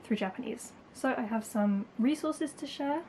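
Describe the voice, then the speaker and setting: A woman's voice: a little high, normal speed, dark tone, a little hiss or hum. Chatty young woman. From England. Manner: chatty, lots of likes and ums, light laughs. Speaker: language-learning YouTuber. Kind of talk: casual chat to camera.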